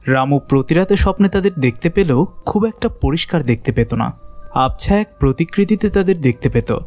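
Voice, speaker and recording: Animated, higher-pitched male storyteller. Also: normal speed, faint music behind. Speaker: narrator. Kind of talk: scripted storytelling narration for a children's cartoon.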